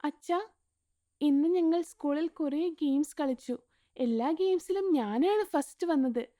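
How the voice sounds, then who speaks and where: A woman's voice: high-pitched, a little slow, warm tone, voice pushed up. A woman voicing an eager young schoolgirl in a storybook tale, chirpy and showing off. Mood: proud.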